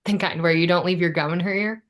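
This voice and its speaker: A woman's voice: fairly low pitch, fairly even intonation, fast, lightly acted. A woman playing a wry, exasperated young woman in a sitcom script read. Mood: defensive, wry.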